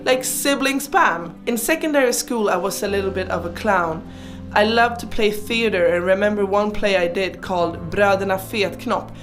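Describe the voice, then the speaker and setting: Girl in her 20s, german speaker, chatty casual life story voiceover, playful, music clearly playing behind. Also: mid-range voice. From Sweden. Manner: chatty, informal, playful asides. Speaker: video creator narrating her life. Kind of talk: casual personal storytelling voice-over.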